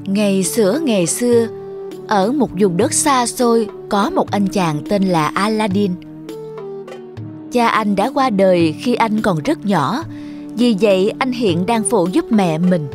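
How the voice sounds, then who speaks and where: A woman's voice: mid-range voice, expressive, measured pace, music in the background. A woman narrator reading a scripted fairy tale for children.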